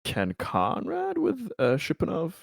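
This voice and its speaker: Guy playing a tabletop rpg, slow talker, super animated pitch, gravelly, dry mic. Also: no room sound. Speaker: tabletop game player. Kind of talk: casual game-table chat.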